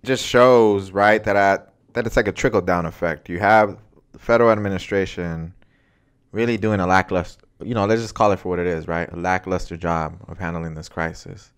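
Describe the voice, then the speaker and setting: An American man talks as a podcast co-host. His voice sits fairly low and sounds clean and resonant. He comments on the news in a conversational style. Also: steady tone, brisk, clear, resonant tone.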